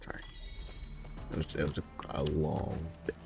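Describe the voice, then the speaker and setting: A man's voice: rumbling low, completely flat delivery, moderate pace, gravelly. A man giving casual live reaction commentary as a game streamer. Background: game music.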